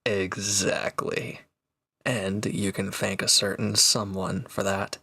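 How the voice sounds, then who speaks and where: A man playing a proud, teasing reformed villain and swordsman in an intimate audio roleplay, talking cockily to one listener in a gravelly voice. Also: sits low, little pitch movement, takes it slowly, pushed and bright, dry recording, studio quality, exaggerated voice. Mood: smug, bossy.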